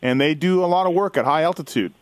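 Male podcast host, higher-pitched, loose joking banter about racing, recorded dry and close. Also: fast, dry recording. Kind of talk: casual sports banter.

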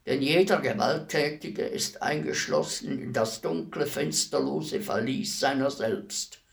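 An actor playing a despised, philosophical executioner in a radio play, speaking in a solemn, deliberate, measured monologue. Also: male voice, medium pitch, vocal fry. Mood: solemn, reflective. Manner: measured, grave, deliberate declamation. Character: despised executioner, calm, philosophical killer addressing his victim.

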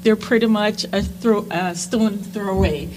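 A woman's voice: medium pitch, unhurried. A woman from Jamaica speaking as a panel guest, telling stories about her work in a casual way. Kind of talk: casual anecdotal storytelling. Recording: noisy live room with clicks.

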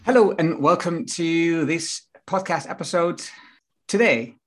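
Dutch guy hosting a podcast in english, kinda husky, rambles and restarts a lot. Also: a little high, a little slow, fairly bright tone. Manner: chatty, rambling, many restarts and laughs. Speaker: podcast host and business coach. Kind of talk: casual interview chat.

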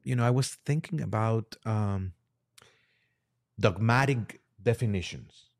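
A man discussing religion in a halting, deliberate, explanatory way, as a priest and podcast guest would. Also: lowish voice. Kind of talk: religious discussion, reading aloud and commenting.

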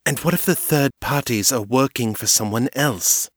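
A man's voice: medium pitch, moderate pace, husky, dry recording, studio quality, voice pushed up, natural voice. A man voicing a blunt, principled female vampire who presses her points directly. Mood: pressing.

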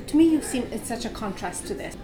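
A woman interviewer asking questions haltingly and backing the guest with frequent "mm-hmm". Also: a little high, lively intonation, a crowd in the background.

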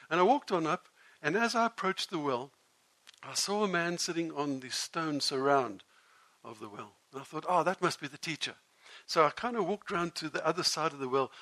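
A man playing a sharp-tongued Samaritan woman. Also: fairly high pitch. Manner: animated, theatrical storytelling. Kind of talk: dramatic first-person monologue.

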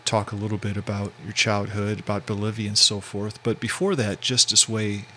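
A man's voice: lowish voice, flat delivery, normal speed, sharp tone, slight background noise. A male podcast host asking questions in a hesitant way, with many fillers and restarts. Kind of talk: casual interview.

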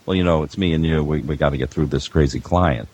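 An older man, a veteran producer, chatting casually and haltingly, acting out quotes as he tells anecdotes. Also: a bass voice, darkish tone, husky. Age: 50s or older. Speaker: veteran audio producer, guest.

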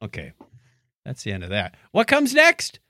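Animated, playful male podcast host. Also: fairly low pitch, normal speed, no room sound, studio quality, faint music behind. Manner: joking, playful. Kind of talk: casual co-hosted podcast chat.

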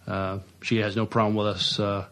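A man co-hosting a podcast in casual news chat, with a halting, hesitant delivery. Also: fairly low pitch, level tone, fairly bright tone. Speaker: podcast co-host.

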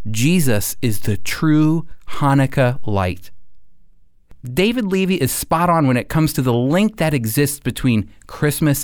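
A man's voice: fairly high pitch, darkish tone, no echo, clean studio sound. A man giving devotional teaching as a program host, with earnest, emphatic phrasing. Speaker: radio show host and Bible teacher. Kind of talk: devotional teaching, reading and explaining.

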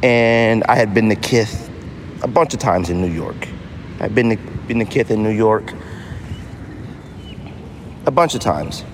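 A middle-aged American man hosting a casual solo podcast, telling stories in an animated, slangy, emphatic way. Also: fairly low pitch, a little slow, long pauses, a little hiss or hum. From United States. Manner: animated, slangy storytelling with mock voices for others; emphatic, with repetition for effect. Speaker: podcast host.

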